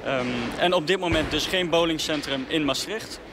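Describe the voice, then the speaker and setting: A man who consults for the hospitality sector answers a radio reporter haltingly, with many filler sounds. His voice sits fairly high. Clear background noise runs under the recording.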